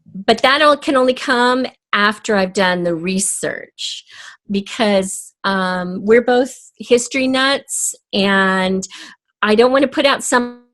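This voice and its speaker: A woman's voice: mid-range voice, slow, a bit bright. An older woman talking conversationally as a writer being interviewed. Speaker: audio drama writer, interview guest.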